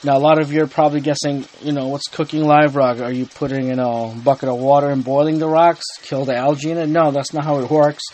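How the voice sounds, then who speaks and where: A man's voice: fairly high pitch, little pitch movement, fast talker, hardly any pauses, a little mellow. A man hosting a hobby channel, talking casually and unhurriedly, with frequent ums and restarts as he explains his tank. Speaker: aquarium hobby channel host. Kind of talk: casual explanatory talk to camera. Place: indoor home room with the aquarium.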